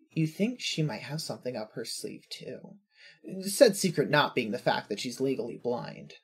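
Fiction podcast narrator, androgynous mid-pitched voice, heavily husky, reading scripted prose.

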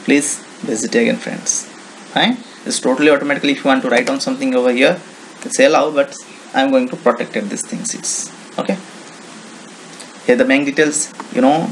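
A man with an Indian accent giving an informal software walkthrough, explaining step by step in simple, repetitive phrases. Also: mid-range voice, creaky, a little hiss or hum, poor audio. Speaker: software tutorial presenter. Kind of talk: informal screen-recording walkthrough.

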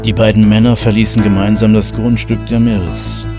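A man's voice: fairly low pitch, level tone, moderate pace, music in the background, close to an everyday voice. A man narrating a horror audio drama as a composed storyteller, in scripted prose. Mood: measured, ominous.

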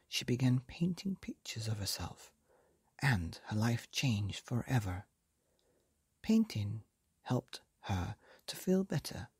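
A man hosting a bedtime story podcast, reading aloud in a soft, breathy half-whisper that lilts gently as he goes. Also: medium pitch, dry recording, clean studio sound. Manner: slow, gentle and lilting.

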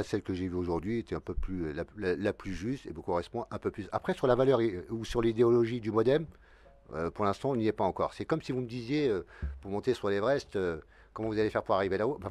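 A man's voice: lowish voice, quick pace, a little mellow, no room sound. A man in his fifties, a local election candidate, talking through a televised studio debate in a rambling way with many restarts. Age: 50s. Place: dark TV studio, seated at a desk. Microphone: desk mic.

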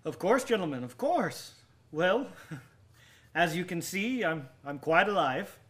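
An actor in a radio drama playing an over-polite, nervous murderer with a genteel, halting way of talking. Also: male voice, high voice, animated, a bit bright, exaggerated voice. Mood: nervous politeness. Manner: genteel, overly courteous, halting. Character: nervous, over-polite male murderer hiding guilt from police.

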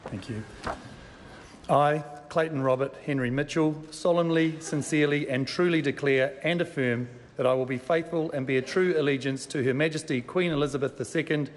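A man's voice: a little high, moderate pace. A man, a member of parliament solemnly reading a formal affirmation in a large chamber. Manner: solemn, clear. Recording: reverberant chamber, faint hum.

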